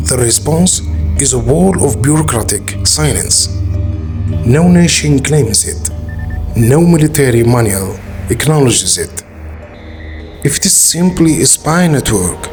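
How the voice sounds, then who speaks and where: A man narrating a scripted suspense documentary in a dramatic, ominous way with deliberate pauses. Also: medium pitch, darkish tone.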